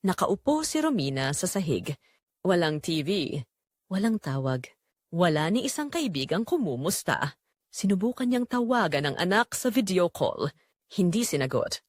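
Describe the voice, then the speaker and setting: A woman's voice: on the low side, no room sound, studio quality. A woman with a Filipino accent narrating a scripted dramatic story.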